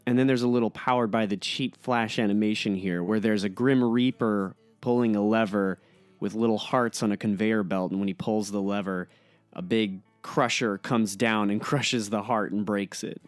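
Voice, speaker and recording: A man's voice: fairly low pitch, fairly even intonation, moderate pace, no echo, faint music behind. A man casually describing something as a podcast host.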